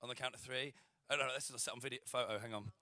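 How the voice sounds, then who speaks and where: A man's voice: medium pitch, fairly even intonation, racing, pushed and bright, dry recording, studio quality. A man with a nasal voice, a guest at a live event, joking and bantering. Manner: jokey, bantering.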